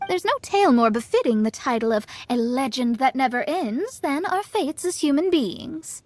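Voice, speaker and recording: High-voiced, grandiose mystic. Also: female voice, expressive, normal speed, a little mellow. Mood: solemn, grand. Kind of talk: English video game voice acting.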